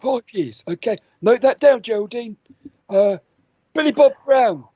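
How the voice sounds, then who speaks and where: A man's voice: extremely high pitch, expressive, a little slow, mellow, slightly rough, dry recording, dull audio. A man co-hosting a comedy podcast, reading out quiz questions playfully and with stumbles. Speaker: podcast co-host and quizmaster.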